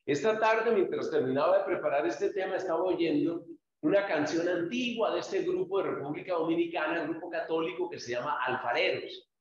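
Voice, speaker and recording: A man's voice: fairly high pitch, brisk. A man, a pastor, teaching a church group, explaining patiently and speaking to listeners directly by name. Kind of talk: instructional talk to a small group. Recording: very echoey, dull lo-fi room.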